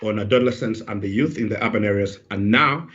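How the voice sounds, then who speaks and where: A man's voice: lowish voice, quick pace, fairly bright tone. Hesitant man moderating an online panel. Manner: hesitant, with frequent ums and uhs. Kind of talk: hosting a virtual panel. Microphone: video call. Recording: muffled video-call audio.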